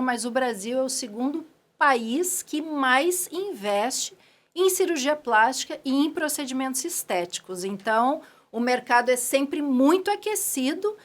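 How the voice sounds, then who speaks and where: A middle-aged woman from southern Brazil with a Gaúcho accent, speaking as a guest on an interview podcast, chatty and full of restarts. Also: high voice. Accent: Gaúcho Brazilian Portuguese. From Rio Grande do Sul, Brazil. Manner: chatty, with frequent restarts and "né" tags. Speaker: guest, clinic owner. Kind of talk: casual interview talk.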